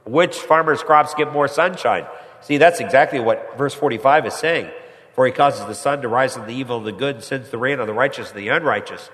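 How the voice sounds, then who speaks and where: A pastor preaching a sermon with a stuffy head cold, climbing higher and louder when he presses a point. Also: fairly quick. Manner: rises in pitch and volume when pressing a point. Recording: long hall reverb.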